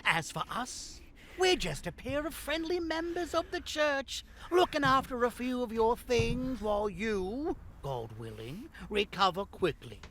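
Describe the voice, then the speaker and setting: Theatrical, gravelly looter boss. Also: male voice, very high voice, sing-song, a little slow, a bit bright, slight background noise, heavily acted. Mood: mock-friendly. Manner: theatrical, mock-courteous. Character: callous male looter leader, mockingly polite, quick-tempered. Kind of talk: horror audio drama acting.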